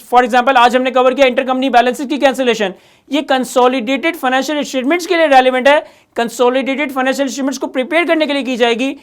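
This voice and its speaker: A man's voice: extremely high pitch, smooth. A man with a Pakistani accent giving an instructional lecture, explaining step by step with emphatic repetition. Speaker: accounting lecturer.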